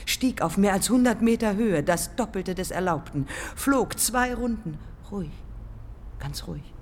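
An actress playing a proud, defiant dead pioneer aviator in a German radio drama, speaking in measured, matter-of-fact phrases. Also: female voice, mid-range voice, animated, in a large hall, slight background noise, natural voice. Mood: calm recollection. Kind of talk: German public radio drama, lyrical dialogue.

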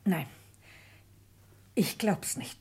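A woman's voice: very slow, darkish tone. An actress playing a scornful, mistrustful farm woman in a German radio crime drama, speaking curtly. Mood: stubborn. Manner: curt, mistrustful.

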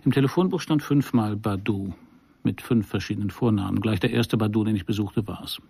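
An actor playing a world-weary private detective, narrating a noir radio drama in a dry, laconic way. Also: male voice, fairly low pitch, fairly quick, gravelly, dark tone, breathy edge, no room sound. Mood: dry, observant.

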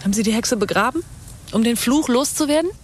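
A woman's voice: on the high side, lively intonation, quick pace, slight background noise, close to an everyday voice. An actress playing an insistent, anxious female investigator under threat in a modern radio drama, with an urgent, pressing delivery. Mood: insistent, uneasy.

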